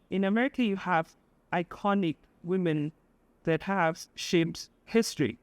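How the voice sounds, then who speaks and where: A woman from Sudan, giving an earnest, measured speech as a guest speaker, pausing often with fillers. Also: low voice, a little mellow, dry recording. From South Sudan. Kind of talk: public speech at an event.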